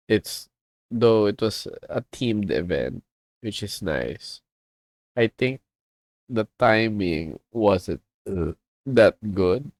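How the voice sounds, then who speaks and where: A man's voice: on the low side, a little mellow, dry recording. A man with a Filipino accent, chatting casually on a livestream as a comic artist and rambling as he switches between English and Tagalog. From Philippines.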